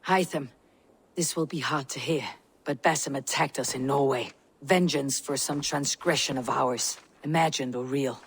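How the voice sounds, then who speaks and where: A woman voicing a steadfast, fearless Viking warrior in a video game, grave and deliberate. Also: a bass voice, fairly even intonation, breathy edge, heavily acted. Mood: grave, regretful. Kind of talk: dramatic video game dialogue.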